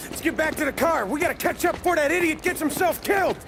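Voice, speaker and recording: Urgent gangster sidekick for game cutscene. Extremely high-pitched, creaky, hot-headed. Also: male voice, quick pace, over loud music. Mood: frantic, furious. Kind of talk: video game cutscene acting.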